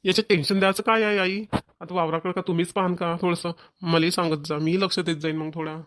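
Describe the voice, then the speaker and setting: A performer plays a grown village son and husband in a cartoon, speaking fast with a rural Maharashtrian accent. He is colloquial and insistent, caring yet a little nagging. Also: mid-range voice, flat delivery, a bit bright, natural voice. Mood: concerned. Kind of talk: Marathi family cartoon voice acting.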